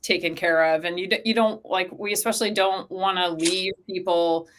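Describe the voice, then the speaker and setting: A woman's voice: on the low side, normal speed, a little hiss or hum. A woman talking casually as an interview guest, explaining things and acting out little imagined quotes as she goes, peppered with "like". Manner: animated quoting of imagined speakers, frequent "like". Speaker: interview guest, company co-founder.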